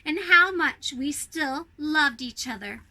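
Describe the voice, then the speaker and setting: An affectionate young princess from a children's storybook, played by a woman. Her voice is high and very bright, and she speaks with earnest expression. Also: expressive, takes it slowly, heavily acted. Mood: tender.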